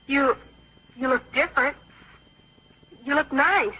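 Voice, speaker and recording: High-voiced bashful boy. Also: slow pace. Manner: hesitant, eager. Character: earnest, bashful thirteen-year-old boy. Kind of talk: old-time radio drama acting. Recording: dull old radio recording.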